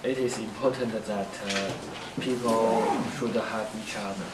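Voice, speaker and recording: Low-voiced man, noisy echoey room. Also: fairly even intonation, measured pace, distant mic. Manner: recites short prepared statements. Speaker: international student.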